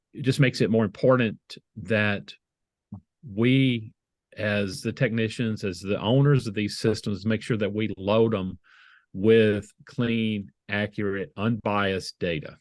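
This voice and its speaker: A middle-aged man with a Southern American accent, answering interview questions over a video call in a measured way, often restarting his phrases. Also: lowish voice, no room sound. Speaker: guest, IT leader.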